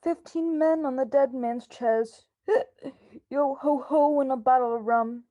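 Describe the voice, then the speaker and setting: A woman playing a gruff, hard-drinking old pirate captain in a radio drama, terse and halting. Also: high-pitched, dark tone, lo-fi sound. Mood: rowdy singing.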